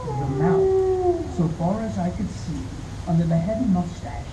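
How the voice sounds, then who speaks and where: A young English traveller, anxious and earnest, played by a woman narrating his own journey with dramatic build. The voice is mid-pitched, with echo around it and constant wind noise audible behind the outdoor stage recording. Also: sing-song, a little slow. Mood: tense. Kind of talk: live community theatre radio play.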